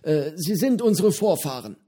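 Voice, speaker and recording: Brief: old eccentric pirate, cheerful and easygoing, pitched high, as a male narrator puts him on. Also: animated, normal speed, dark tone, husky. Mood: jovial. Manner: easygoing, hesitant with fillers. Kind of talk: audiobook character voice.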